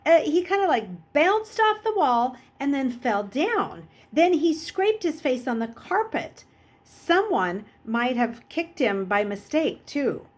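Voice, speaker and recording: A woman's voice: sits high, big pitch swings, slow pace, pitched above the performer's own voice, full character voice. A woman voicing a cocky, mocking teenage boy who leads his gang, brusque and offhand, in an audiobook. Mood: glib explaining. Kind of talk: audiobook character voice.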